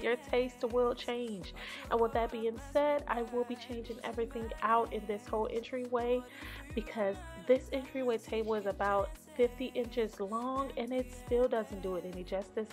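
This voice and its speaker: A woman narrates her home cleaning vlog in a casual voice-over, pitched a touch high. She talks straight to her viewers and drops in light laughs. Soft music plays quietly underneath.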